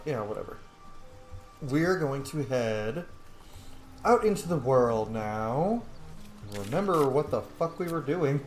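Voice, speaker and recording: A man hosting a gameplay video with casual, rambling, sweary commentary and a sardonic edge. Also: medium pitch. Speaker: video game streamer and host. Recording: roomy, faint background noise.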